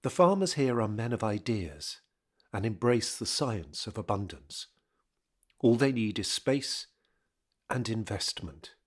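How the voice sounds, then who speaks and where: Slightly husky man narrating historical fiction. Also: lowish voice, sing-song, a little slow. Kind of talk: dramatic scripted fiction narration.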